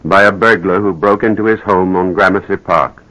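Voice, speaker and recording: An actor playing a grave, apologetic doctor in a radio drama, speaking in a measured, halting way. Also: male voice, low voice, fairly even intonation, over music, close to an everyday voice, rough recording. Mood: grave, reluctant. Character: grave, hesitant male doctor breaking bad news. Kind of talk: 1940s radio drama acting.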